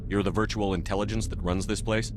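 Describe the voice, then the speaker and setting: A man playing a steady, direct military commander in a video game, level and to the point. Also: lowish voice, quick pace, noisy background, close to an everyday voice. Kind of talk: scripted video game acting.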